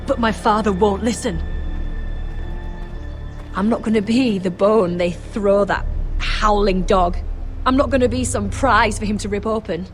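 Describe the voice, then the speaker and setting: A woman's voice: medium pitch, close to an everyday voice. An actress playing a proud young noblewoman in a fantasy video game, speaking with defiant, pleading intensity. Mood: angry, defiant. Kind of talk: video game dramatic acting.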